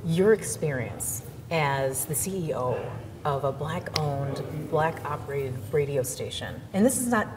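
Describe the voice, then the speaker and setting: A young woman TV reporter asking interview questions in a smooth, encouraging way. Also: expressive, measured pace. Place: indoor exhibit hall. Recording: hall reverb, faint rumble.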